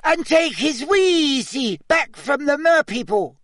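A man voicing a squeaky, excitable male house-elf servant who speaks of himself in the third person. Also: takes it slowly, no room sound, clean studio sound, full character voice. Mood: urgent.

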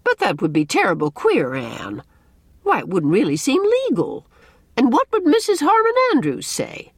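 A woman's voice: medium pitch, huge pitch swings, normal speed, husky, dry recording, clean studio sound, pitched above the performer's own voice. A woman voicing a stern, opinionated older village matron in an audiobook, emphatic and scolding with old-fashioned country phrasing. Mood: shocked. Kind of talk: audiobook character dialogue.

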